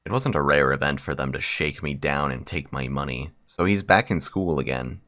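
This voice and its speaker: A man's voice: very deep voice, moderate pace, pushed and bright. A man hosting a game video, reading a visual novel aloud and adding slangy, profane bro-style commentary. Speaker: gaming video host.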